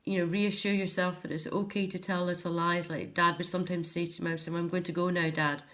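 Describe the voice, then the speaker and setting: Earnest middle-aged woman, lower-pitched voice. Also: flat delivery, fast, a bit bright. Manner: earnest, emphatic. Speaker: interview guest, author. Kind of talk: reflective personal interview.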